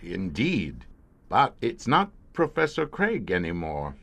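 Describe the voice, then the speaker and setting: An actor playing a middle-aged police inspector, a former professor haunted by a secret horror, brooding and theatrical in an old-time radio horror drama. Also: male voice, deep voice, wildly swooping pitch, takes it slowly, a bit bright, exaggerated voice. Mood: wry, weary.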